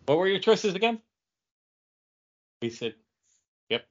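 A man's voice: high-pitched, sing-song, slow pace, lo-fi sound. A man co-hosting a podcast, chatting casually and tossing in dry, joking asides. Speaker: podcast co-host. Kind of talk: casual bantering podcast chat.

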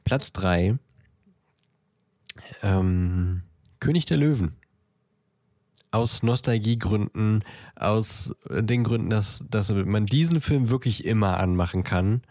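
A man with a lowish, gravelly voice talks casually as a podcast co-host. He thinks aloud in a halting, searching way. The sound is dry and close, with no room around it. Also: a little mellow, dry recording. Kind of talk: casual chat, ranking films.

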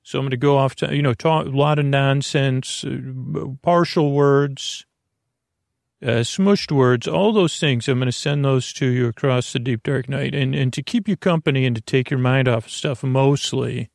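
A man with a creaky voice hosting a sleep podcast, giving a soothing bedtime monologue that meanders and halts with pauses and repeated phrases. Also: mid-range voice, steady tone, darkish tone, no echo, clean studio sound. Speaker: podcast host.

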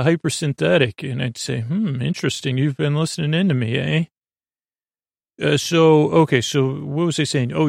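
A man hosting a bedtime podcast in a meandering, halting monologue, with a gravelly voice and a lulling, soothing manner. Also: mid-range voice, dry recording, clean studio sound. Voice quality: gravelly, creaky. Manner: rambling, halting, self-interrupting. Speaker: podcast host.

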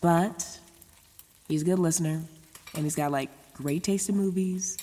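A woman's voice: lowish voice, measured pace, warm tone, big-room sound. An actress playing an anxious, chatty young woman who rambles casually and swears in a naturalistic audio drama monologue. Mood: conflicted, rationalizing. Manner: rambling, casual, sweary, stop-start self-talk. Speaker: lead actor in an audio drama.

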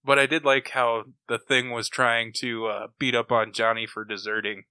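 A man's voice: mid-range voice, little pitch movement, normal speed, bright, forceful tone, clear, resonant tone, no echo. A man chatting casually as a panelist on a podcast. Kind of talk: casual chat.

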